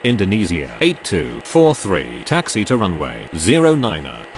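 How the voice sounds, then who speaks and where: A man's voice: huge pitch swings, unhurried, full character voice. A man playing a businesslike aviation radio operator in a game, using formulaic, procedural phraseology. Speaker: air traffic radio voice, pilot and controller. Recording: noisy audio with background rumble.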